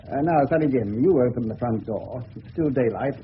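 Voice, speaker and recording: Thin-sounding, wry English actor as police inspector. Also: male voice, mid-range voice, a little hiss or hum, lightly acted. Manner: probing, dry, sardonic. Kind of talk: 1970s radio drama acting. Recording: muffled narrow-band old radio recording.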